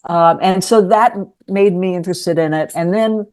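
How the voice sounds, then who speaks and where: A woman's voice: lowish voice, moderate pace, a little mellow. Older woman, thoughtful and hesitant. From New York City. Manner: thoughtful, hesitant with many ums. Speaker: interview guest, lawyer and writer. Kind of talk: conversational interview.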